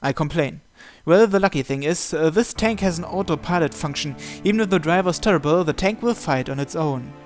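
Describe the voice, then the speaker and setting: Man, somewhat high-pitched, game series host, story read-aloud, music in the background. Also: animated, brisk, hardly any pauses. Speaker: host of a visual novel playthrough series. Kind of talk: reading visual novel narration aloud.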